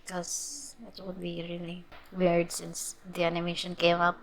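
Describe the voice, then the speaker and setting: A woman comments casually as she streams a game. Her voice is on the low side and fairly flat. She reacts in halting, murmured remarks. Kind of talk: casual live playthrough commentary.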